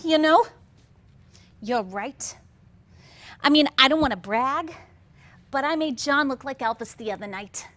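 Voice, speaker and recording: An actress playing a doubtful, easily flustered housewife in a comic staged play reading, with an incredulous, questioning manner. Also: female voice, high-pitched, animated, a little slow, pauses often, fairly bright tone, no echo. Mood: warming up. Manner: incredulous, short questioning reactions. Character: doubtful, easily flustered housewife Betty, sister and amateur hairdresser.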